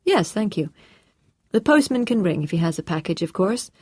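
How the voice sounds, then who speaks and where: A woman reading the lines of a calm, guarded man, keeping a light and unworried tone. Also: medium pitch, moderate pace, slightly rough, no echo, studio quality.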